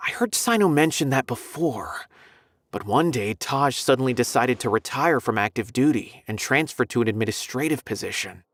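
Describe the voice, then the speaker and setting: An earnest young officer in a video game. His medium-pitched voice moves around a lot and is recorded very dry and close. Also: animated, moderate pace, fairly bright tone, no room sound. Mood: thoughtful.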